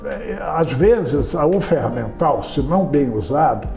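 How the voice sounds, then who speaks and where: High-pitched, animated Brazilian male interviewer. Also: measured pace, creaky, roomy sound, music in the background. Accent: Brazilian Portuguese. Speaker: interviewer, journalist. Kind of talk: conversational interview with storytelling.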